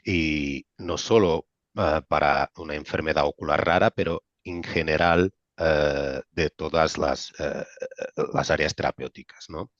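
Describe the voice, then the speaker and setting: A man with a non-native accent in Spanish, giving an informal explanatory webinar presentation as a medical director, speaking haltingly with frequent pauses and repeated words. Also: extremely low pitch, fairly even intonation. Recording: dull, roomy webinar audio.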